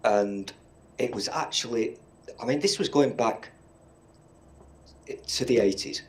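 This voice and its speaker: A man's voice: medium pitch, measured pace. A middle-aged man with a Northern English accent, chatting casually on a podcast, blunt and swearing freely as he rambles through stories. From Northern England. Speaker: podcast co-host. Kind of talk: casual chat.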